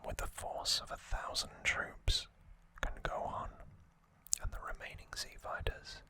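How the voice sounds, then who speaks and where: An ASMR host speaking in an unhurried, informal whisper, chatting through commentary close to the microphone. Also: bright, forceful tone, no echo, rough recording. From United Kingdom. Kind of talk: whispered ASMR, relaxed show-and-tell commentary.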